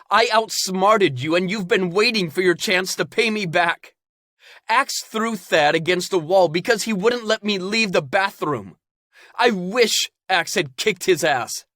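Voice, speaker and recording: A distraught young man, frantic and raw, his voice pushed high and swinging widely, as the narrator puts him on. He speaks slowly, pleading or lashing out. Dry, close recording. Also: no room sound, heavily acted.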